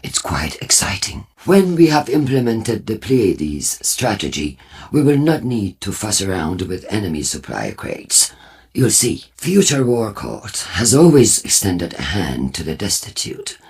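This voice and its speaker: An actor playing a zealous, cryptic robotic war-cult leader in a video game, solemn and theatrical, with a husky, gravelly voice. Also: male voice, on the low side, big pitch swings, a little slow, darkish tone, exaggerated voice. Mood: proud, scheming. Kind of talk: scripted video game character dialogue.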